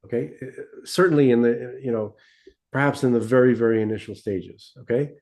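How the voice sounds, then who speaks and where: A man in casual discussion, mid-pitched with a mellow, dark tone. He rambles and restarts often, checking in with "right?" and "okay?" to keep the listener with him. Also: steady tone, brisk. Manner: rambling, many restarts, seeks agreement with tag questions. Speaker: podcast co-host.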